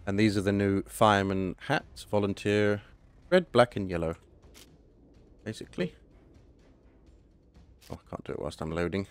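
A man with a hoarse voice, casually talking through a game update and thinking aloud as he plays. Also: on the low side, normal speed, long pauses, soft background music. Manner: chatty, thinking aloud, self-correcting. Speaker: gaming channel host. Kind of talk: casual gameplay commentary.

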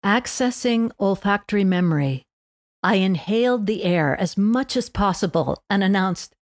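A woman's voice: mid-range voice, animated, moderate pace, no room sound, studio quality. A woman podcast host reading a scripted narration aloud for language learners.

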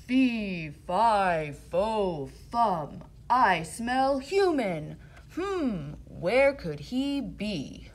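A woman voicing a huge, mean, hungry male giant in a children's fairy tale, slow and menacing. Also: very animated, exaggerated voice. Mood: suspicious, menacing. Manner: slow, booming, drawn-out menacing delivery.

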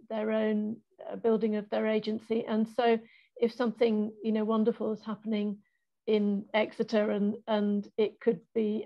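A woman moderating an online panel discussion, speaking thoughtfully with many pauses and restarts. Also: mid-range voice. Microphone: video call laptop mic. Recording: dull lo-fi video call audio.

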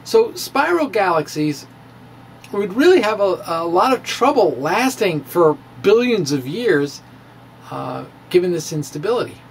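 Middle-aged male science presenter, dramatic reader with very animated pitch, unhurried pacing and pointed pauses. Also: creaky, a little hiss or hum. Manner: theatrical, drawn-out emphasis with pauses. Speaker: science blogger giving a dramatic reading. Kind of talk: theatrical reading of a popular science blog. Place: small room with bookshelves. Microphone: handheld-style microphone on a stand, close in front.

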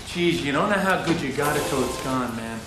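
Guy doing a jittery cop, kinda high, griping and cursing, echoey noisy spot. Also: expressive, a little fast, recorded from a distance, close to an everyday voice. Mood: edgy. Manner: griping, profane, edgy. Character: jumpy male cop contact, griping and nervous.

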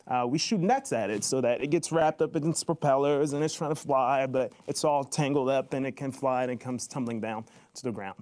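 A man's voice: medium pitch, a little mellow. Man explaining simply, step by step. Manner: simple step-by-step explaining, posing questions and answering them. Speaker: Air Force flight test presenter. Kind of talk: explanatory live online talk for schoolchildren. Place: indoors on a video call. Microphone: video call microphone.

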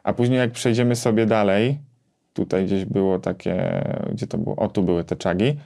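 Man, thirties, lowish pitch, dark mellow tone, conversational reviewer, explanatory, pausing. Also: fairly even intonation, gravelly. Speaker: gear reviewer and co-host. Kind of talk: conversational gear comparison commentary. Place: small home music studio, indoors.

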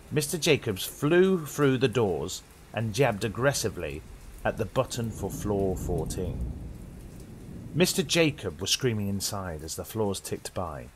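A young British man narrates a horror tale like an old radio host. His delivery is dramatic and animated, with deliberate pauses, over faint rain-like ambience. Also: on the low side, slight background noise.

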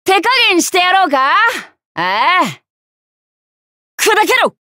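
A hot-blooded young tomboy knight from an anime game. The voice is high and sharp, swinging widely in pitch, with rough, slangy, swaggering phrasing and a brash, cocky temper. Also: female voice, very animated, slow pace, dry recording, studio quality, full character voice. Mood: fierce, battle-ready. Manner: rough masculine slangy speech, boisterous, swaggering. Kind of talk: Japanese anime game character voice lines.

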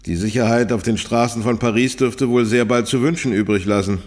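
Man as a calm, commanding professor. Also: mid-range voice, natural voice. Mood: composed, resolute. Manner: measured, authoritative, formal. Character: calm, commanding parapsychologist professor, man, wielding a magic amulet. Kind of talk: radio horror drama acting.

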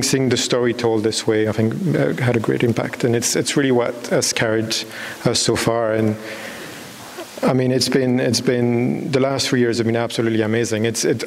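A man in his thirties from Belgium, a documentary filmmaker, giving a halting, unscripted answer on stage with repeated false starts. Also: medium pitch. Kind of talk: unscripted answer at a public talk. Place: on stage in a large dark hall with an audience. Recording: echoey hall with clear background hiss.

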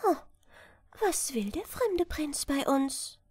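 Storybook princess, young, dainty and curious, high and unhurried , put on by a woman reader. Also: very animated, no echo, studio quality, heavily acted. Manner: soft, dainty. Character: delicate young flower princess, refined and curious. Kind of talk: fairy-tale storybook reading.

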